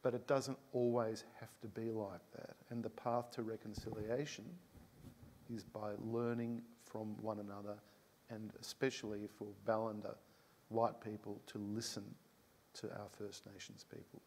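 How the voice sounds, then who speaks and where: A man's voice: lowish voice, normal speed, long reverb tail, slight background noise. A man, a composer answering an audience question on a stage panel. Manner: animated, laughing, gestures while talking. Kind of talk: reflective panel talk. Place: concert hall stage, panel before an audience.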